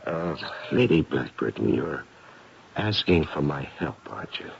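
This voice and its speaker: An actor playing a composed, inquisitive gentleman doctor who investigates hauntings in a 1970s radio drama, measured, deliberate and courteous. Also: rumbling low, sing-song, vocal fry, breathy edge, exaggerated voice. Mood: calm, probing. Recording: muffled, noisy vintage radio broadcast.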